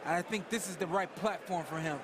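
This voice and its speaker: A man's voice: high voice, brisk, a crowd in the background, background noise. A man giving color commentary on a fight, with a casual, conversational way of breaking down the action. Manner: casual, conversational analysis with fillers.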